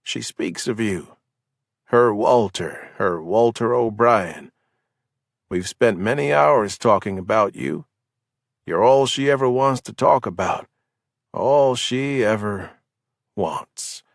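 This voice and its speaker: A man voicing a heavily drugged male war veteran, speaking in a slow, gruff drawl with a Southern US accent. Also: on the low side, expressive, no room sound, clean studio sound, heavily acted. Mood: slow, haunted.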